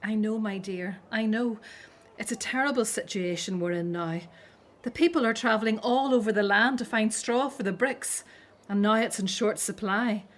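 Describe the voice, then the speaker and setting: An actress playing a caring, concerned Hebrew older sister in a religious radio drama. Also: female voice, mid-range voice, moderate pace, natural voice. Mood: sympathetic, worried.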